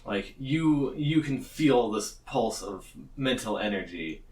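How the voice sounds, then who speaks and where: A man's voice: mid-range voice, animated, slow pace, a little mellow, echoey room. A man speaking casually as game master, narrating a tabletop game and bantering with players. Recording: distant mic, slight background noise.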